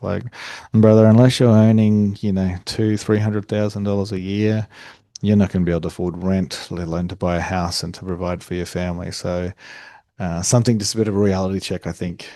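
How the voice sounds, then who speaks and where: A young Australian man talks through a solo podcast episode in a low, mellow voice. He rambles casually, restarting sentences and padding with fillers, in a delivery he himself calls monotone. The sound is dry and close, with no room around it. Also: no echo. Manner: conversational, self-described monotone, frequent fillers and restarts. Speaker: podcast host.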